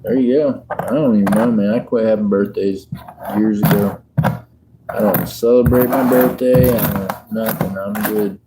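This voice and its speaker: A man's voice: lowish voice, steady tone, a little slow, heavy background noise. A man, chatting and joking with viewers on a casual livestream. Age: 50s. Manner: laid-back, joking, chats with viewers. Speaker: livestream host. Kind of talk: casual livestream chat while sorting coins.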